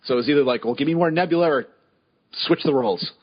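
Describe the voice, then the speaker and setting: A man's voice: a little high, fast talker, slightly rough, dry recording. Man, casual podcast chat, dry dull-sounding recording. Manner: excitable, stammering restarts. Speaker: podcast co-host.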